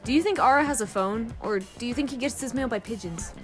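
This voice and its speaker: A woman's voice: fairly high pitch, brisk, soft background music, lightly acted. A woman playing a sardonic, crude, teasing rogue of a space crew in an audio drama, with a sarcastic, needling delivery. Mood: wry, flippant.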